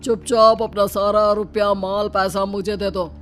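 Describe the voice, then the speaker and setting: A man's voice: sits high, level tone, a little slow, a bit bright. A man voicing a blustering street robber in a cartoon story, bossy and mocking. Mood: threatening. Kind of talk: Hindi cartoon story dubbing. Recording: background music behind the voice.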